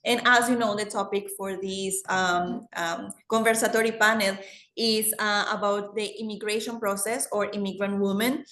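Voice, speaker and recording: Woman panel moderator, mid pitch, encouraging, lots of ums, roomy sound. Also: steady tone, normal speed, a bit bright. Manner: encouraging, with hesitant fillers. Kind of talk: hosting an online panel. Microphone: video call. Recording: echoey room.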